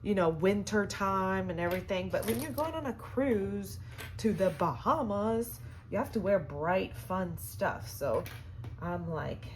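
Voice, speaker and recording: A woman hosting a sewing tutorial, chatty and upbeat with lots of fillers, her voice hoarse and cutting in and out. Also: medium pitch, a little slow, slight background noise. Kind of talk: casual how-to demonstration.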